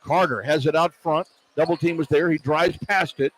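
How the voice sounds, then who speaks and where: A man's voice: fairly high pitch, fairly even intonation, normal speed, low-quality recording. An American man calling live basketball play-by-play on radio in clipped phrases. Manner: clipped phrases tracking each pass and shot. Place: courtside at an indoor arena. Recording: arena noise behind broadcast commentary. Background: arena ambience, basketball bounce.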